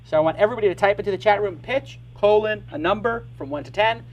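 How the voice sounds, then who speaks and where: A middle-aged man hosting a live startup show, joking and talking fast in bursts. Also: high-pitched, highs cut off. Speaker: podcast host.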